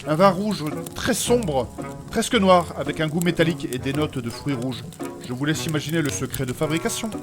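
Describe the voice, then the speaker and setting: An actor playing a learned fantasy priest who explains things in a formal, politely pedantic, storytelling way in a comedy audio drama. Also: male voice, on the high side, brisk, close to an everyday voice. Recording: faint music behind the voice.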